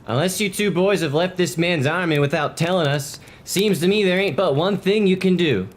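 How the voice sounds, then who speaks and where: A man's voice: sits high, moderate pace. A man playing a hard-nosed, by-the-book cavalry soldier in a historical drama, admonishing in a folksy, matter-of-fact way. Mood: warning.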